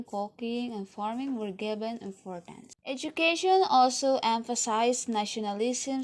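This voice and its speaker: A young woman with a Filipino accent reads through a slide presentation from a webcam at home, working through lists and trailing off quietly at the ends of lines. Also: on the high side. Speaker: student presenter.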